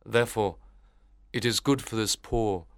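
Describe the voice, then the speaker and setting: A man playing a troubled young minister in a dramatized audiobook, speaking in a measured, earnest, preacherly way. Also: fairly low pitch, no room sound, studio quality. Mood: solemn pleading.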